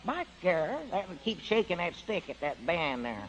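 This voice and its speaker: An actor playing a simple-minded, talkative rural old-timer with a rural Southern accent in a folksy, rambling 1940s radio comedy style. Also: male voice, a little high, sing-song, normal speed. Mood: musing. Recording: dull 1940s radio broadcast recording.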